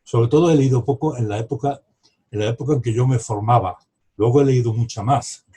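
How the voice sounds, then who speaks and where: A husky, very breathy older man in his seventies, from Morocco, with a soft, mellow tone. He rambles as a novelist guest at a book club over a video call, restarting sentences and trailing off into reflective asides. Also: medium pitch, brisk. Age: 70s. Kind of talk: casual reflective conversation.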